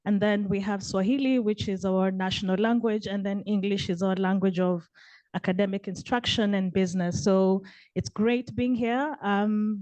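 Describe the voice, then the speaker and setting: A woman from Kenya with a Kenyan accent, speaking up from the audience at a panel in an earnest, measured way. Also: mid-range voice, darkish tone, no room sound. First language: Kenyan vernacular. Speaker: audience member asking a question.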